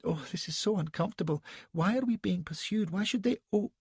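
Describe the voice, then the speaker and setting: A man's voice: high voice, fairly quick, gravelly, a little mellow, slightly rough, no room sound, pitched above the performer's own voice, heavily acted. A man voicing a naive, prim young wife who asks one fussy, breathless question after another. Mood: bewildered.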